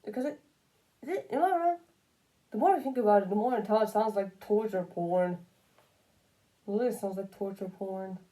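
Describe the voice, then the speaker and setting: A woman's voice: medium pitch, moderate pace, long pauses, dark tone, rough recording. A woman giving casual, unscripted opinion commentary, rambling and repeating phrases for emphasis. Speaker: commentary video creator.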